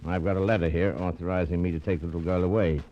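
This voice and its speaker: A man's voice: deep voice, fairly even intonation, very fast, natural voice. An actor playing a curt, menacing gunman in 1940s radio style, with clipped, commanding delivery. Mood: cold, businesslike. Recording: muffled, noisy vintage radio recording.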